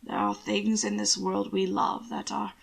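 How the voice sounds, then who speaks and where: A woman voicing an old, somber duke and grandfather, with a weary, low, formal delivery. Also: flat delivery, creaky, husky, heavily acted. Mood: somber, wistful. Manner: slow, formal, wistful.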